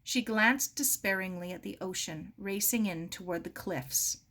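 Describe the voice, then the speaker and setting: A woman reading a children's novel aloud in an expressive narrating style. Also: medium pitch, unhurried. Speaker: story reader.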